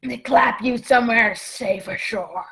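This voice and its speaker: Woman playing a creaky, blustering old pirate man. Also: on the high side, a bit bright. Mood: threatening bargaining. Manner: blustering, slow, archaic pirate talk. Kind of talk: radio play acting.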